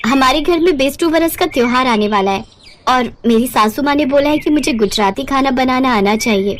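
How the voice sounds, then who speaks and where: A woman voicing a polite young newlywed in an animated storybook tale, respectful, earnest and eager. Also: high voice, normal speed, close to an everyday voice. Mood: eager, hopeful.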